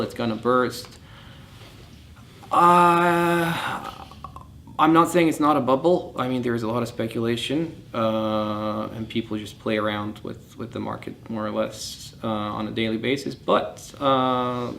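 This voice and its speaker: A man lectures informally in a medium-pitched voice, offering firm opinions as he thinks aloud. The room is echoey, with faint noise behind him. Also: fairly bright tone. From born in Bulgaria, grew up in several countries. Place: indoors in a session room.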